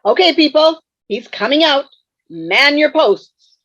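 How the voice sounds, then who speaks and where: A woman's voice: high-pitched, big pitch swings, slow pace, fairly bright tone, exaggerated voice. A woman playing a pompous, formal male ghost commander in an online table read of a sitcom script. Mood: commanding. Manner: formal, pompous, archaic phrasing. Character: pompous male ghost, old-fashioned military commander rallying troops. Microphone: video call. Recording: dull, roomy video-call sound.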